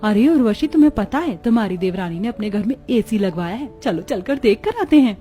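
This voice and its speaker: A woman voicing a gossipy, easily amazed neighbour in an animated family story. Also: mid-range voice, fairly quick, soft background music, natural voice. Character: gossipy, wide-eyed neighbourhood women.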